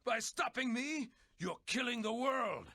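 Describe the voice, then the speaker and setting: A man's voice: extremely high pitch, expressive, measured pace, vocal fry, sharp tone, no room sound, full character voice. A man voicing a menacing, grandiose villain in a fantasy video game. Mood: dramatic, accusing. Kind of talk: video game voice-over session.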